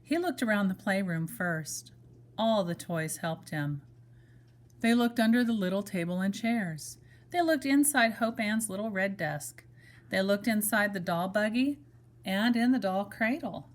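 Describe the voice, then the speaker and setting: A woman reading a children's story aloud in a measured, expressive manner, as if to young children. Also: medium pitch. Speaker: storybook reader. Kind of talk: children's story read-aloud with brief asides to listeners.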